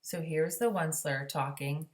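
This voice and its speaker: Low-pitched woman reading to children. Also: moderate pace. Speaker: storybook reader, teacher explaining to children. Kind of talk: children's read-aloud with explanatory asides.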